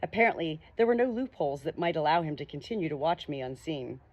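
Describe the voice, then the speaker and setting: A woman's voice: sits low, animated, fast talker, highs cut off. A woman narrating an audiobook novel dramatically in the first person.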